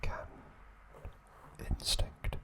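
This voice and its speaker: Slow, whispering ASMR man. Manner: hushed, slow, deliberate, in short broken phrases. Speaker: ASMR video host. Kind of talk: whispered ASMR trivia narration.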